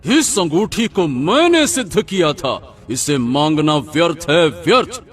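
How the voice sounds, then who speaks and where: A man's voice: fairly high pitch, big pitch swings, unhurried, soft background music. A man playing a stern, defiant magical holy man in a Hindi cartoon story.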